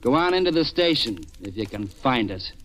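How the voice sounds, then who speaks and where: An actor playing a tough, wisecracking police detective in an old radio crime drama, with a clipped, sardonic delivery. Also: male voice, mid-range voice, sing-song, moderate pace, a bit bright, a little hiss or hum. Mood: exasperated. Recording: old radio recording with music.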